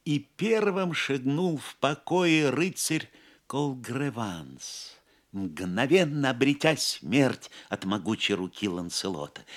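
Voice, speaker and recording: A man narrating an audio play as a dramatic storyteller, theatrical and measured. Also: medium pitch.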